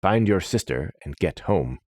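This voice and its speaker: A gruff old war general played by a male narrator from a fantasy storybook, with a low, rumbling voice. He speaks bluntly and with command, close and dry on the mic. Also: lively intonation, measured pace, darkish tone, no room sound. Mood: grave, measured.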